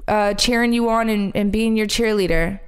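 Upbeat woman co-host with a smooth voice. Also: medium pitch, steady tone, normal speed. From Philadelphia. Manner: upbeat, encouraging, warm to callers. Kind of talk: radio advice show.

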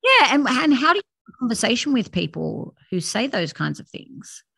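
A woman's voice: a little high, very animated, moderate pace, creaky, fairly bright tone, breathy edge, no echo, studio quality. A woman interviewing a guest, enthusiastic and emphatic in her questions.

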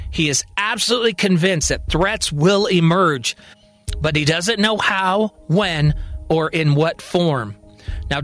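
A man's voice: high-pitched, fairly bright tone. A man narrating a scripted science-fiction drama as a storyteller. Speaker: storyteller and podcast host. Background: music.

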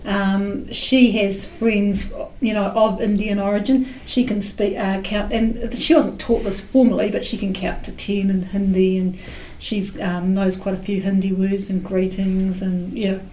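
A woman co-hosts a podcast. She reads introductions in a plain, scripted way and chats easily with guests. Her voice sits at a middle pitch for a woman. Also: level tone, a little slow, dark tone, poor audio. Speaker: podcast co-host. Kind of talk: podcast hosting.

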